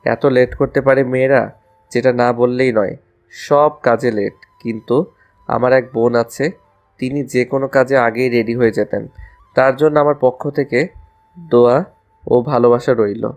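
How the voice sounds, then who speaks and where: A man's voice: medium pitch, fairly even intonation, moderate pace, long pauses, a little mellow, soft background music, close to an everyday voice. A man playing a cheeky, lovestruck college student with a flippant, teasing way of talking in an audio love story. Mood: grumbling.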